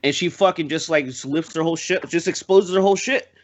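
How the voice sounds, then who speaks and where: A man in casual podcast chat, rambling and halting, swearing freely. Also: a little high, lo-fi sound. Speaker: podcast guest. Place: indoor room on a group video call.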